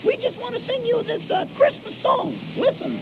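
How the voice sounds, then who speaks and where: Nervous piglet: tiny, squeaky, creaky, courteous and cautious, for vintage radio comedy with phone-thin sound. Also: expressive, normal speed, exaggerated voice. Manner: nervous, polite. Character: timid, wary little cartoon pig. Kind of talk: 1930s radio comedy acting. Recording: crackly, tinny 1930s radio recording.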